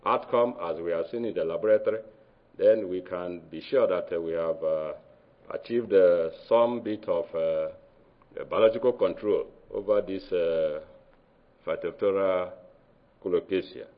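Halting Nigerian man presenting research. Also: sits low. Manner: hesitant, many uh fillers, halting phrasing. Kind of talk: academic conference presentation. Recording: dull lo-fi recording.

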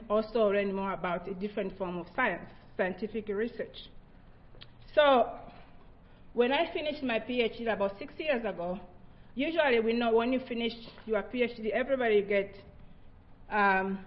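Middle-aged woman from Tanzania, Haya and Swahili speaker, reflective research talk, mid pitch. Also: dull audio. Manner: earnest, reflective, with frequent restarts. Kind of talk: presentation talk.

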